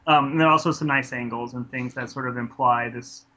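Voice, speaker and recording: A man's voice: mid-range voice, fairly even intonation, a little fast, pushed and bright. A man casually reviewing a film in conversation, enthusiastic and rambling, often restarting his sentences. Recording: dull lo-fi podcast audio.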